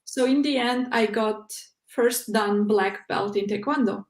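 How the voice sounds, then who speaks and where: A woman's voice: a little high, roomy sound. A young woman from Uzbekistan, a non-native English speaker, talking as a guest in a casual interview with many fillers and restarts. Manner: halting, frequent "like" and "uh" fillers. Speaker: interview guest, PhD researcher.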